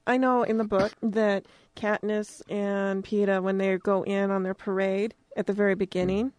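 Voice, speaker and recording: A woman's voice: medium pitch, little pitch movement, moderate pace, darkish tone, dry recording. An American woman hosting an informal radio interview, speaking in a plain, conversational way. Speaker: campus radio host, interviewer. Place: radio studio.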